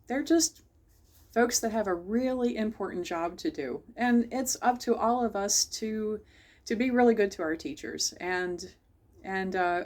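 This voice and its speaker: A woman's voice: medium pitch, a little mellow. A woman hosting a children's storytime, reading a picture book aloud and chatting directly to young listeners. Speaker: library storytime host.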